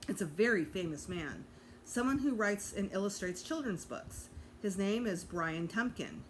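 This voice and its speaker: Woman as male teacher, patient, good-humored, storybook dialogue, lively intonation, noise behind. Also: mid-range voice, measured pace, close to an everyday voice, poor audio. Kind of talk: children's storybook character voice.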